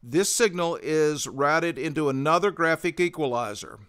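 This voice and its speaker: A man's voice: fairly high pitch, moderate pace, a bit bright, smooth. A man presenting a tutorial in methodical, scripted explanatory narration. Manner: methodical, instructional.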